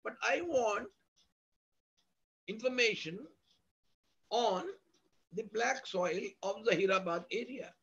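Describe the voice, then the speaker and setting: A male university lecturer with an Indian accent speaks in a very high, husky voice. He explains in a didactic, emphatic manner, restating points in strings of synonyms. The audio is dull and lo-fi. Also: lively intonation, slow pace, long pauses, fairly bright tone. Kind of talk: academic lecture, explanatory with examples.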